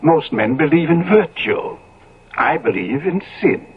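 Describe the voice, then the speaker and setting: A man's voice: a little high, big pitch swings, unhurried, breathy edge, full character voice. A man playing a decadent, cynical Victorian lord in a theatrical, grandly persuasive way, in 1970s radio drama style. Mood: amused, suave. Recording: tinny, phone-like old radio recording.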